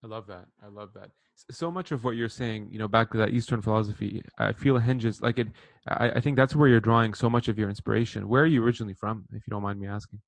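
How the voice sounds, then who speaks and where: A man's voice: on the low side, quick pace, vocal fry, no echo. A man from Lebanon hosting a podcast interview, chatting casually and in an informal, chummy way. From born in Lebanon, grew up partly in Saudi Arabia. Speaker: podcast host interviewing a guest.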